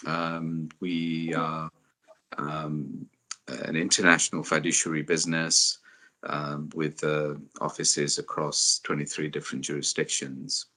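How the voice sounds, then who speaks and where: A man from Uganda, introducing himself on a panel in a measured way, with frequent fillers. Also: very deep voice, flat delivery, sharp tone. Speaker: fiduciary business executive.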